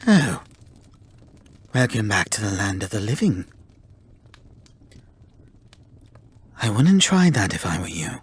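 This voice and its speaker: A man playing a haughty, grudgingly caring male kitsune in an ASMR roleplay, speaking formally and theatrically with a breathy, husky voice. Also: fairly low pitch, sing-song, moderate pace, heavily acted. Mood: stern, calm. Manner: formal, theatrical, exasperated scolding. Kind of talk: ASMR roleplay acting, addressing the listener.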